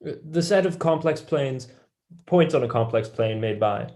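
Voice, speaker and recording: Wry dry-witted young man, student acting, mid-pitched voice, mathematician in a stage play reading. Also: expressive, normal speed, darkish tone, natural voice. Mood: teasing, pleading. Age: young adult. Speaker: student actor.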